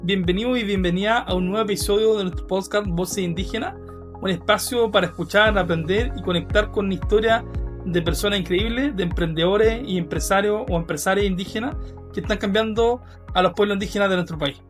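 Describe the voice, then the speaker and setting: A man's voice: sits high, fairly quick, soft background music. Chilean guy hosting a podcast, polite and formal, asks easy questions. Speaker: podcast host.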